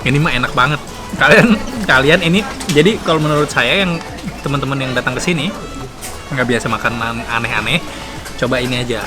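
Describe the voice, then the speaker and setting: A man's voice: medium pitch, a little fast. A young man from Indonesia hosting a travel show, tasting food and chatting casually with lots of laughter. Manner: chatty, laughs often, relaxed slangy talk. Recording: loud music under the voice.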